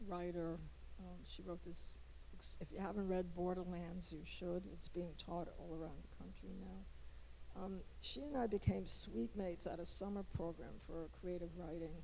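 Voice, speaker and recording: An older Polish-born woman, speaking in a reflective, halting way with frequent restarts. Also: lowish voice, warm tone, a little hiss or hum, low-quality recording. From Poland. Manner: halting, many restarts and asides. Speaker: poet, interviewee. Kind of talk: reflective conversation.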